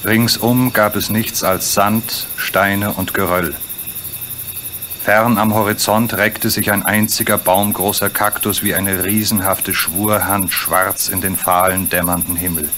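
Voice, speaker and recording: Erzähler mit tieferer Männerstimme. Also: male voice, fairly low pitch, little pitch movement, a little slow, bright, forceful tone, slight background noise. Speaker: narrator. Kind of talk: scripted radio play storytelling narration.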